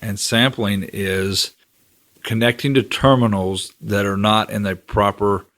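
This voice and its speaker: Low-voiced man explaining steadily. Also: unhurried. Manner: steady, explanatory, filler "you know". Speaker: co-host, general manager. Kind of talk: casual explaining.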